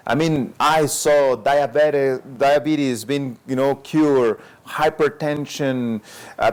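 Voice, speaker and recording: A man who is a lifestyle medicine doctor, telling his story as an interview guest in a relaxed way, with many fillers and frequent chuckles. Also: a little high.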